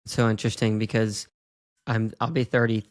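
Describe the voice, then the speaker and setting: A man in his early thirties with a mid-pitched, flat voice. As a podcast host he speaks openly, almost confessionally, about his own mistakes. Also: moderate pace. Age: 30s. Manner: open, confessional musing. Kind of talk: podcast interview.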